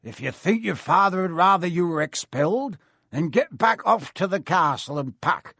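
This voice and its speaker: A man voicing a big gruff gamekeeper who talks bluntly with country words. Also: high voice, animated, moderate pace, a bit bright, dry recording, clean studio sound, pitched above the performer's own voice, full character voice. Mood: stern.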